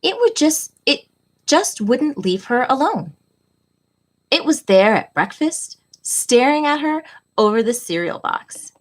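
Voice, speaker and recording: Middle aged lady tutor doing a kids read aloud, expressive storybook delivery, normal pitch. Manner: deliberate, expressive storytelling for children. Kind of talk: children's read-aloud with introductory talk.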